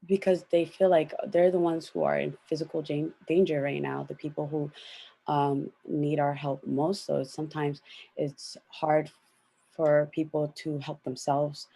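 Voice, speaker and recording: A woman's voice: low voice, flat delivery, unhurried, creaky, warm tone, slightly rough. A young woman speaking thoughtfully on a discussion panel, with plenty of fillers. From United States.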